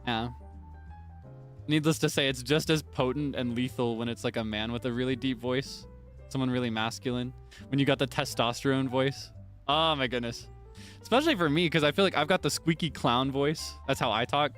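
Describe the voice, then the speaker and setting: A young man with an ordinary mid-range voice talks casually to his viewers on a stream. He laughs often and pokes fun at himself. Soft music plays faintly underneath. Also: mid-range voice, moderate pace. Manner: chatty and self-deprecating, laughs often. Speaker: virtual streamer. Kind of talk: casual chat answering viewer comments.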